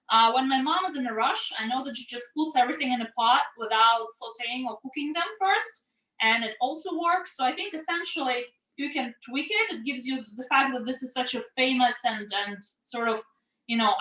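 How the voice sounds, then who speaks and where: Home cook, woman with Russian accent, fairly high-pitched and bright, chatty and explanatory, casual demo delivery. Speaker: home cook leading an online cooking class. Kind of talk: casual cooking demonstration. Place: indoors at home, cooking. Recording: roomy, echoey home sound.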